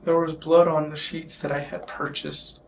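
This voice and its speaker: A man being questioned by police, recounting in a halting, shaken way while getting over a sickness. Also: a little high, little pitch movement. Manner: shaken, halting, repeats himself. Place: police questioning, recorded on bodycam. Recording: noisy police bodycam audio.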